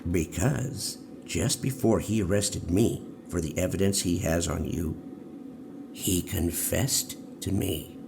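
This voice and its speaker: An elderly male prisoner, played by a man in a radio drama, speaks from deep in his register with a heavy rasping creak. He is calm, repentant and cryptic, pressing his points in a measured way. Clear background noise surrounds him. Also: exaggerated voice. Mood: calm, insistent. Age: older. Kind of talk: audio drama acting. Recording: noisy ambience behind the voice.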